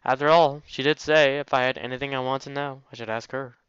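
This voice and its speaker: A man's voice: mid-range voice, steady tone, a little fast, a bit bright, smooth, no echo, muffled recording. A man hosting a let's play, reading a visual novel aloud informally, with jokey asides and stumbles over words.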